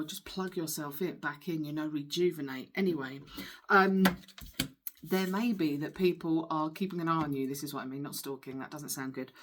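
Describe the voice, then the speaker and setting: A woman with a slightly low voice talks informally to her viewers as a tarot reader. She is earnest and reassuring, and leans on her words for emphasis. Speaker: spiritual healer and tarot reader.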